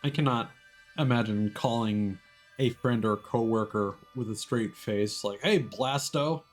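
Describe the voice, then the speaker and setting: A man's voice: lowish voice, unhurried. Wry male streamer over background music. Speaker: video game live streamer. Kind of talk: casual live stream commentary, chatting to viewers.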